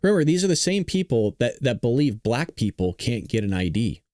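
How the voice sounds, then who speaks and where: A man's voice: medium pitch, very animated, brisk, darkish tone, no room sound, clean studio sound. A man giving opinionated reaction commentary in a mocking, exasperated manner. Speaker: commentator reacting to video clips.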